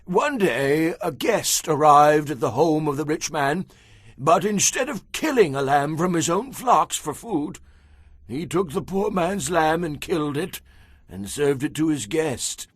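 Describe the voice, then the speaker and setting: Principled biblical man, declamatory, a little rough, close dry sound, Bible drama. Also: a little high, expressive, unhurried, no room sound. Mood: solemn storytelling. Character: solemn, principled man of ancient Israel. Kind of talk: dramatized audio Bible acting.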